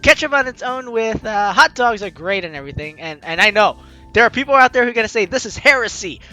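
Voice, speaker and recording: Female let's-play host, bright lively voice, swings between reading aloud and chatty reactions, faint music behind. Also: a little high, fast talker. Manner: animated, chatty reactions to the story. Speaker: gaming channel host. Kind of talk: casual let's play commentary, reading game text aloud. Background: game music.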